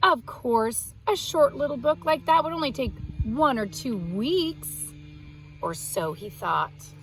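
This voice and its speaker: A woman reading a picture book aloud to young children, expressive, pausing to explain words simply. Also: high voice, soft background music, poor audio. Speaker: children's read-aloud host and art teacher.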